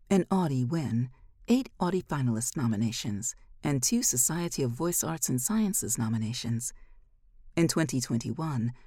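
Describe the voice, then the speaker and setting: A woman narrating scripted material as a podcast host, with a measured, expressive delivery. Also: low-pitched, no echo, studio quality.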